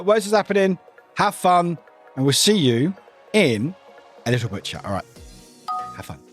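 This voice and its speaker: A streamer talking loosely to chat, wandering between thoughts and laughing along the way. The voice rises and falls a great deal and sounds a little croaky. Faint music runs underneath. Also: male voice, a little high, fairly bright tone. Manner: rambling, chatty, laughs often. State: slightly croaky voice. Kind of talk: casual livestream chat.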